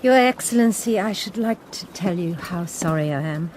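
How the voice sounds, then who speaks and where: A proud, weary nun in charge of a mission speaks in a clipped, restrained way in a radio play, voiced by a woman. Her voice is low and mellow, with a faint hiss underneath. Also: lively intonation, normal speed, close to an everyday voice. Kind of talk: radio drama acting.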